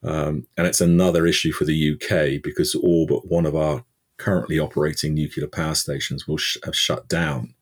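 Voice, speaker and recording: A man's voice: extremely low pitch, fairly even intonation, fairly quick. A man guest from the UK answering an interview question at length, thoughtful, with many fillers and restarts. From United Kingdom. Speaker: energy expert guest.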